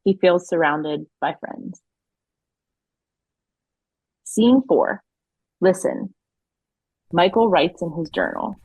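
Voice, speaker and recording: A woman's voice: lowish voice, animated, slow pace, gravelly, pauses often, darkish tone, husky, dry recording, low-quality recording. A woman narrating an audio play, reading scripted stage action and scene settings.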